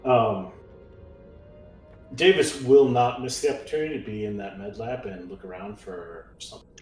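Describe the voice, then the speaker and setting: Male tabletop player, lowish voice, casual game talk, echoey room, faint music. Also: fairly even intonation, normal speed, a little mellow. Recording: roomy echo, music behind.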